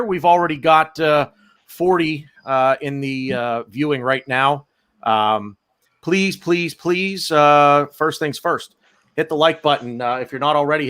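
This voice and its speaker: A man's voice: fairly high pitch, fairly bright tone. A man hosting a podcast in a loose, joking way, rambling through introductions in casual chat. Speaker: podcast host.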